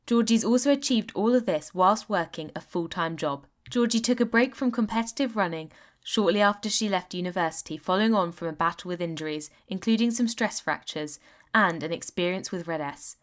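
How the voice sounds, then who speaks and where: A woman's voice: mid-range voice, moderate pace. Upbeat young woman hosting a podcast. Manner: upbeat, encouraging, effusive praise. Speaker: podcast host. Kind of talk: podcast interview, scripted intro then chat.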